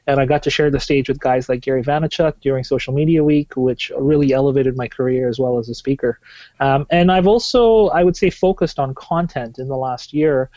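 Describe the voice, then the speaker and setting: A man in his thirties, originally from Tanzania, talks through his answers on a podcast. His voice is mellow and warm, and it sits close to a dry, slightly dull mic. He keeps talking fluently with plenty of "uh"s and restarts. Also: mid-range voice, brisk, dry recording. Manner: fluent, with frequent "uh" fillers and restarts. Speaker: guest, marketing specialist.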